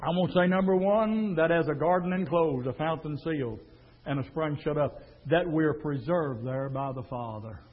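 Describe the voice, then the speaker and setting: A man preaching a fiery sermon, dropping to a low murmur and then rising to a shout. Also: moderate pace. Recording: tinny phone-like sound.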